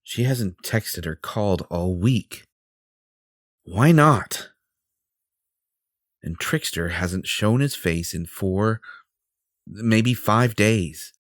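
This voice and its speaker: A man's voice: fairly low pitch, animated, very slow, pauses often, no room sound, clean studio sound. A man playing a vain, possessive superhero in an audio roleplay, theatrical and self-important, with a flirtatious streak. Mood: frustrated, brooding.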